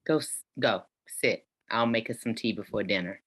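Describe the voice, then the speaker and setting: An elderly grandmother with an extremely low voice, played by a woman in a table read. She is a courteous hostess with a sinister edge, bossy and slow in her commands. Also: husky. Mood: bossy, firm. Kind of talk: virtual table read acting.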